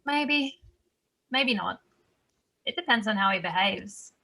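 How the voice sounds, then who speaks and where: Very bright-voiced wry mother played by a woman. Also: mid-range voice, lively intonation, slow pace, gravelly, full character voice. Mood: wry, guarded. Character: Sam, wry, sociable mother at her son's party. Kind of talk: table read of a screenplay.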